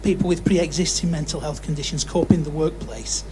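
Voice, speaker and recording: A man's voice: on the high side, steady tone, a little fast, mellow. A young man putting an earnest, unscripted question from the audience at a public Q&A. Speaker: audience questioner, political campaigner. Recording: faint hum and room noise.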